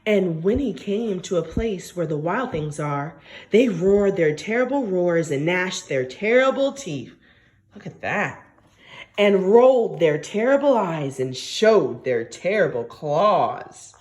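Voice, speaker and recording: A woman teacher reading a story aloud to young children, expressive and coaxing, often asking questions and stressing key words. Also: fairly low pitch, slow pace. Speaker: primary school teacher.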